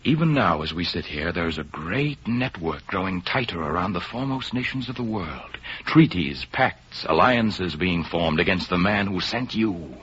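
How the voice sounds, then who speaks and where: A man's voice: low-pitched, animated, moderate pace, hardly any pauses, fairly bright tone, slightly rough, exaggerated voice. A man playing an earnest, lovestruck aristocratic count in a 1930s radio drama, speaking in a declamatory, romantic way. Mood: grave, confiding. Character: ardent aristocratic count, earnest lover and patriot. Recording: muffled old 1930s radio recording with music.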